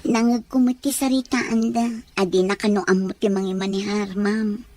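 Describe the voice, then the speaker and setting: A woman's voice: fairly even intonation, normal speed, dark tone, lightly acted. A woman playing a deferential, flustered household maid in an Ilocano radio drama, with a Filipino accent. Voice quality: high-pitched.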